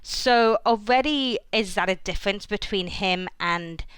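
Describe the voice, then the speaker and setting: A woman talking through ideas about an anime on a podcast, measured and full of fillers. Her voice is smooth and clear. It is recorded close and dry. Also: mid-range voice, a bit bright, no echo. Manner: measured, thinking aloud with fillers. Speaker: podcast co-host. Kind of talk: casual anime discussion.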